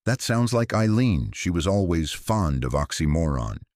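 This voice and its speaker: A man's voice: deep voice, sing-song, moderate pace, darkish tone, no echo, clean studio sound. A man voicing a prim, guarded old small-town woman in a story, with precise, drily genteel phrasing. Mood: dry, composed.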